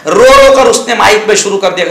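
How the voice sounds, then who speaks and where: High, bright-voiced male cleric, echoey. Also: brisk. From India. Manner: exhortative, scolding, conversational with rhetorical questions and repetition. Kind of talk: informal sermon-like news commentary.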